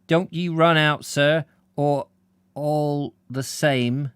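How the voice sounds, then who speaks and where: A man voicing a sulking rustic village cricketer who speaks haltingly in broad dialect. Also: medium pitch, clear, resonant tone, no echo, clean studio sound. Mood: sullen.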